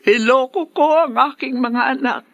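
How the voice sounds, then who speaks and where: A woman's voice: sits high, normal speed, no echo, natural voice. An actress playing a proud, domineering older mother in a melodramatic radio drama, emotional and theatrical. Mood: haughty, scornful.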